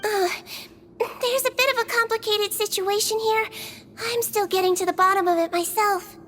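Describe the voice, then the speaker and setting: A woman voicing a gentle, soft-spoken young nurse who hesitates with small fillers, in a video game. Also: extremely high pitch, fairly even intonation, moderate pace, slight background noise. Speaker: head nurse.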